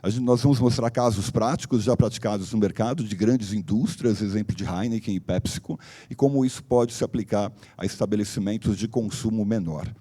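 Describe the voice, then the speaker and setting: A slightly hoarse man speaks at a conference in a low, mellow voice. His delivery is loose and explanatory, full of fillers and restarts. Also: brisk, hardly any pauses. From Brazil. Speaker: company director giving a talk. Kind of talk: conference presentation. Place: conference hall.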